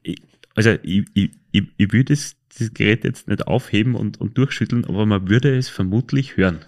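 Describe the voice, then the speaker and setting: A man's voice: on the low side, brisk, darkish tone. An Austrian man hosting a podcast, chatting casually and telling anecdotes in a hesitant, colloquial way, often correcting himself. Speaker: podcast host.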